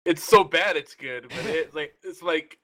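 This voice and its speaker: A man's voice: a little high, unhurried, pushed and bright. A middle-aged man chatting casually as a panelist and player on a talk show. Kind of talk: casual chat.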